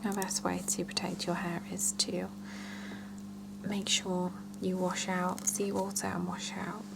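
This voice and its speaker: A woman whispering softly in an ASMR roleplay as a salon scalp therapist, chatting and explaining gently and without hurry. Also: fairly low pitch, flat delivery, unhurried, very noisy. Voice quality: soft breathy whisper with creaky edges.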